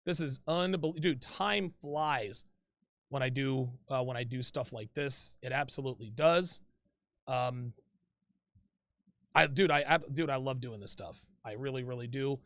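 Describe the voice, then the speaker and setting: A man's voice: medium pitch, unhurried, fairly bright tone, dry recording, clean studio sound. A man hosting a live call-in advice show, talking casually, repeating himself and calling people "dude".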